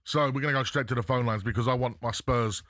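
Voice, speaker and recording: A man hosting a radio phone-in, chatty and quick with banter for callers. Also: medium pitch, a bit bright, dry recording, studio quality. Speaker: radio presenter.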